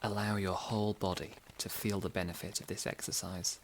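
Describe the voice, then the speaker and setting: A man hosting a guided sleep meditation podcast, giving soothing, gentle, unhurried instructions. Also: low-pitched, level tone, slight background noise, poor audio.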